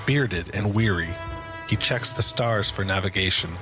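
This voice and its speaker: A man's voice: fairly low pitch, a little slow. A man giving scripted dramatic narration as the narrator of an audio drama. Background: music.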